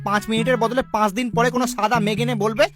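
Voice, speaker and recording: Casting: actor as sarcastic cartoon land creature, male, rapid complaining banter over clear music. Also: extremely high pitch, flat delivery. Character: grumbling, wisecracking plain, a parched lowland. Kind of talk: comic cartoon dialogue. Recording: music behind the voice.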